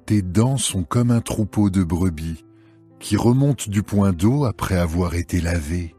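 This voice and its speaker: An adoring, solemn lover, played by a man, declaims in a dramatized scripture reading. The voice is very low and very slow, each phrase measured, with music behind it. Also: animated, mellow, breathy edge, heavily acted. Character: adoring royal lover praising his beloved, solemn.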